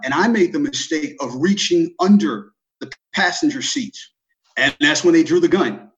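A man's voice: on the high side, lively intonation, normal speed, fairly bright tone, dull audio. A man with an American accent recounting personal experiences as a panelist.

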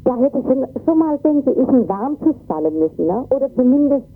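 A distressed woman giving an agitated, halting eyewitness account in an interview, often repeating words and tagging her sentences with "ne". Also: high-pitched, sing-song, husky, phone-quality sound, soft background music. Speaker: interviewee, volunteer refugee worker.

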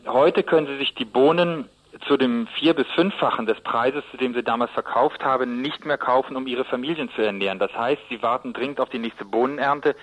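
A man's voice: medium pitch, rough recording. A man with a mid-range voice speaks as an interviewed expert. He is hesitant and circles back over his words, repeating small ones while he works out each explanation. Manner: halting, repeats words while searching for phrasing. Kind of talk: spontaneous explanatory radio interview.

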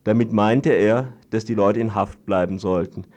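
A man's voice: lowish voice, normal speed, a little mellow. A man reporting as a studio guest, explaining without a script and hesitantly searching for exact wording.

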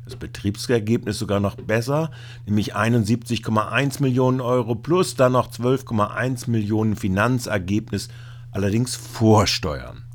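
A man narrating a scripted report with commentary, speaking haltingly, with hesitations. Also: lowish voice.

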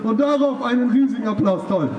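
A man's voice: very high voice, fast talker, highs cut off. A man giving a satirical rally speech outdoors, sarcastic and colloquial, often tacking little questions onto his sentences. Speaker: satirical speaker at a street demonstration. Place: outdoor street demonstration. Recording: echoey, noisy, distant outdoor PA recording.

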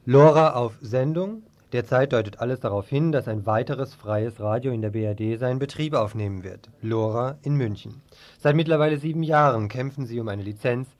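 A man's voice: medium pitch, normal speed, a little mellow. A man working as a radio news presenter, reading reports and introductions from a script.

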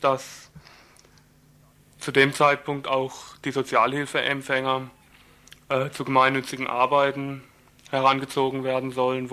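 A man speaks informally, as in an interview. His voice is bright and firm, with fairly flat intonation. He hesitates and searches for his words as he goes. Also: medium pitch. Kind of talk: informal spoken interview answer.

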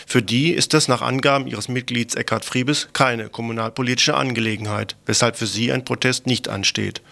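A male radio news presenter reads from a script. His voice sits in a middle pitch and has a gravelly, creaky edge. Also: steady tone, normal speed, sharp tone.

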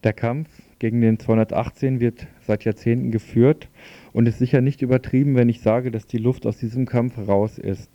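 A male radio host with a very dark, mellow voice. He interviews and moderates in long sentences. The recording is close and dry, a little dull and lo-fi. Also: mid-range voice, steady tone, normal speed, dry recording.

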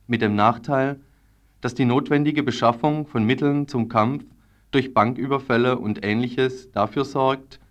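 Male radio news reader, average pitch, scripted political report. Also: steady tone, unhurried.

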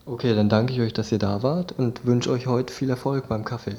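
A man's voice: medium pitch, steady tone, brisk, mellow, dull audio. A man interviewing guests in a casual, conversational radio style.

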